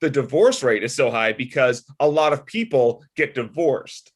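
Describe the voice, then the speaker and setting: A man plays an older deacon in a comedy table read. He is affable and conversational at a middling pitch, sheepish and a little defensive when pressed. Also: moderate pace, close to an everyday voice. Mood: argumentative.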